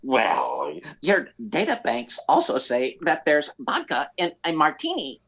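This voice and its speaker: A man acts out a drunken, slovenly space pilot for a comic radio play. His pitch lurches wildly up and down. He takes his time, stammering and slurring through his wisecracks. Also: wildly swooping pitch, measured pace, fairly bright tone, full character voice. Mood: flippant. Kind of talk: comic old-time-radio-style acting.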